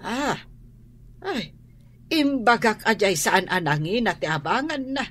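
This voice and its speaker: A woman's voice: mid-range voice, very animated, a little slow, fairly bright tone. An actress playing a worried, chiding elderly mother in an Ilocano radio drama.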